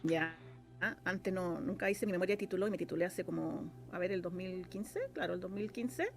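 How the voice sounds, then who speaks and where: A woman with a Chilean accent talking casually as an interview guest, often halting and rephrasing herself. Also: lowish voice, creaky, faint music behind. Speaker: guest, radio drama scriptwriter.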